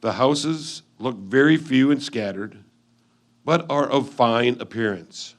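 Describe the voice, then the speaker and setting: A man playing a keen, observant 1850s husband newly arrived out West, reading his letter home in a measured, old-fashioned way for reader's theatre. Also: mid-range voice, lightly acted. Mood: impressed, keen.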